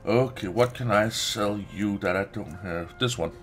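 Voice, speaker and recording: A man's voice: lowish voice, unhurried, soft background music. A man doing casual gameplay commentary, muttering to himself in short bursts. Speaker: let's play streamer.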